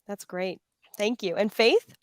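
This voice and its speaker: A woman's voice: mid-range voice, a little slow, dry recording, clean studio sound. A woman moderating a casual panel discussion in an encouraging, affirming way. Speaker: panel moderator and podcast creator.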